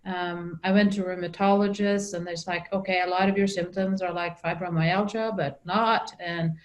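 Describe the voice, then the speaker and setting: A woman's voice: lowish voice, fairly even intonation, moderate pace, highs cut off. A middle-aged woman from Norway with a Norwegian accent, telling her story as an interview guest, with frequent ums and restarts. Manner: hesitant, many ums and restarts.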